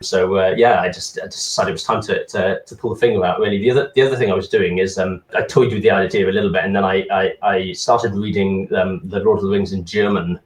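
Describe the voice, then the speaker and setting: A man's voice: low voice, fairly even intonation, quick pace, few pauses, roomy sound, recorded from a distance. A man answering interview questions casually, hesitant with many ums and restarts. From Wales. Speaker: interview guest, translator.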